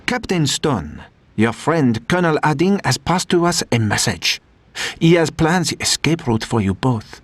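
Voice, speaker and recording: An actor playing a courteous, wry French prisoner of war officer in a video game, speaking formally with a French accent. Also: male voice, on the high side, sing-song, no echo. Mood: wry, sympathetic. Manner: measured, formal, slightly theatrical. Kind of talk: video game cutscene acting.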